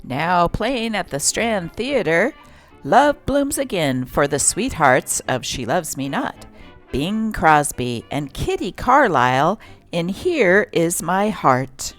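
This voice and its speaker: A woman's voice: lowish voice, very animated, slow pace, soft background music. A woman host and narrator reading old newspaper items and ads aloud.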